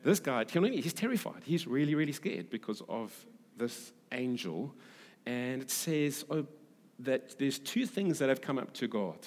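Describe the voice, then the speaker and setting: A man from Durban with a South African English accent, preaching as a pastor in a conversational, halting way with repeated phrases for emphasis. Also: mid-range voice, sing-song. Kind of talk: sermon with scripture reading and conversational asides. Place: large reverberant hall, preaching to a congregation. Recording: echoey hall with long reverb.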